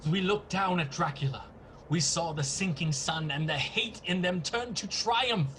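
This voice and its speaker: A man playing a haunted husband in a radio play, gravely and dramatically recounting events. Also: high voice, unhurried, fairly bright tone, slight background noise.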